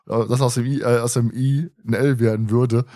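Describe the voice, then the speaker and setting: A man's voice: medium pitch, fairly even intonation, normal speed, mellow. A man hosting a podcast, chatting casually in a playful, teasing, jokey way. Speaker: podcast host.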